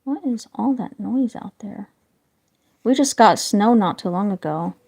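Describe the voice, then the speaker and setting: A woman's voice: mid-range voice, animated, a little slow. A chatty woman rambling to her stream viewers. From northern United States. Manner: chatty and meandering, trailing into quiet asides. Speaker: livestream host drawing and chatting with viewers. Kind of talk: casual livestream chat.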